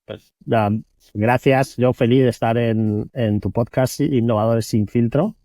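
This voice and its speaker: Mellow Madrid-born man, relaxed storyteller. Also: medium pitch, measured pace, no room sound. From Spain (Madrid). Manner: relaxed, self-correcting, repeats words while thinking. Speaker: guest entrepreneur. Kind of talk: casual interview storytelling.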